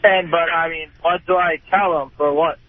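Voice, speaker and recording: A man's voice: on the high side, steady tone, normal speed, no room sound. Stammering man on a tinny phone line. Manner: stammering, questioning, unsure. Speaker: radio show caller. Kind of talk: hesitant casual phone chat.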